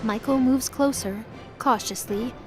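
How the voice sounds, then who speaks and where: Female narrator: fairly high register, dramatic scripted storytelling, music underneath. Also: unhurried.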